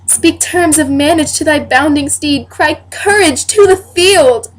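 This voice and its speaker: An anxious noblewoman, played by a young woman rehearsing a stage monologue, pleads lovingly with her husband in Shakespearean verse. Her voice is rather high and earnest, with faint noise behind it. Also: a little slow. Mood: worried, pleading. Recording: noisy amateur home recording.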